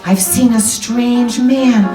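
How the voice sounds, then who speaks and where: A woman's voice: fairly high pitch, fairly even intonation, slow pace. A woman playing an anxious, genteel young wife in 1940s radio drama style, polite, fretful and hesitant. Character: anxious, genteel young married woman seeking a detective's help. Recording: roomy, distant microphone, music behind.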